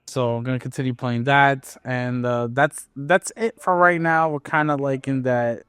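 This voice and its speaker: A man's voice: medium pitch, steady tone, moderate pace, a bit bright, clear, resonant tone, no echo. A man co-hosting a podcast, talking in an easygoing, hesitant way with many fillers and restarts. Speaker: podcast co-host. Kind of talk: casual chat.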